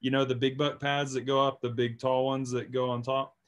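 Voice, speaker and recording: A man's voice: medium pitch, little pitch movement, fairly quick, dull audio. A man hosting a podcast in a casual, chatty style, talking emphatically and often checking in with "right?" and "you know". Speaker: podcast host.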